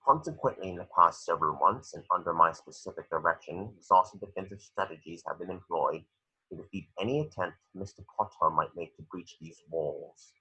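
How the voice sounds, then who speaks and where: Man playing a deep, raspy, imperious headmaster. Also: sharp tone, lo-fi sound, full character voice. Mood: cold, menacing. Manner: deliberate, formal. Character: cold, imperious wizard headmaster, menacing and formal. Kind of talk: screenplay table read.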